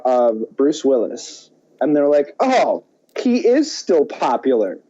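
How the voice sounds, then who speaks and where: Animated, bright-voiced man chatting about movies. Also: fairly high pitch, lo-fi sound. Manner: animated, exclamatory. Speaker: movie podcast co-host. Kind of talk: casual chat about films.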